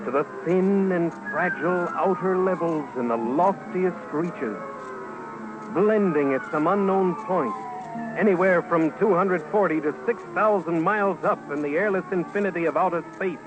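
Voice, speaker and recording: A man with a somewhat high voice narrates an old-time radio documentary over loud music. He speaks deliberately and solemnly, giving the poetic script a portentous, dramatic weight. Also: lo-fi sound. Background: loud background music.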